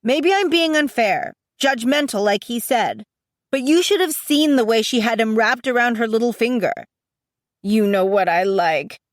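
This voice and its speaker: Woman acting an agitated, high-pitched young college woman. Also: no room sound, clean studio sound. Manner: emphatic, pleading, agitated. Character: distraught college-age sister, fiercely protective, emotional and exasperated. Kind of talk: dramatised audiobook dialogue.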